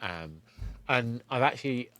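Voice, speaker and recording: A man's voice: mid-range voice, lively intonation, unhurried, pushed and bright, dry recording. A man hosting a conversational podcast interview, thinking aloud and often rephrasing mid-sentence.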